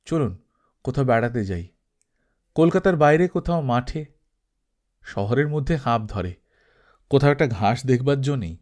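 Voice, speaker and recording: A man's voice: mid-range voice, expressive, moderate pace, pauses often, darkish tone, lightly acted. A man voicing a shy, earnest teenage college student in an audiobook, speaking politely and with hesitation. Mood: shy, wistful. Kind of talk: audiobook character reading.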